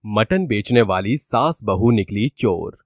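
A man's voice: fairly low pitch, lively intonation, slow. A man narrating a scripted cartoon story as its storyteller. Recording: music behind the voice.

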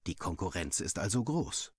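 A man with a fairly low, husky voice narrates a first-person story. He reads expressively and takes his time over the scripted lines. The recording is very dry and close. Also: very animated, moderate pace, no room sound. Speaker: narrator reading a Minecraft story aloud.

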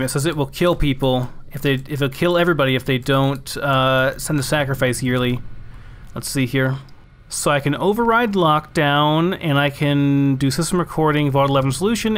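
A man's voice: medium pitch, clear, resonant tone. A man giving casual let's play commentary, thinking aloud as he plays.

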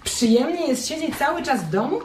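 An actress playing a bored, lonely wife in a radio drama, brash and mocking, with a provocative edge. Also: female voice, high-pitched, expressive, a little fast, a little mellow. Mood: bitter complaint. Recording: roomy, noisy radio play recording.

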